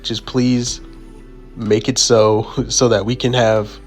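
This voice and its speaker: Hoarse, sick man pleading his opinion. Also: mid-range voice, level tone, takes it slowly, faint music behind. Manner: pleading, repetitive emphasis. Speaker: YouTuber giving an opinion. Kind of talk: opinion commentary over a video.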